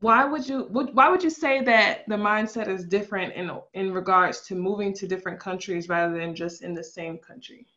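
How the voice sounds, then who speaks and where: A woman's voice: medium pitch, brisk, few pauses, pushed and bright. Chatty woman host on dull audio. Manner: chatty, affirming, many "um" and "you know" asides. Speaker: interviewer host. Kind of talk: casual conversational interview.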